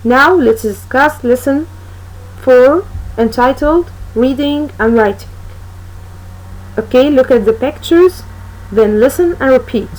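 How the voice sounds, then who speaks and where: A woman teaching an English lesson to young children, speaking slowly and repeating each word for pupils to copy. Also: sits high, lively intonation, rough recording. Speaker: primary school English teacher. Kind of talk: recorded classroom lesson for children.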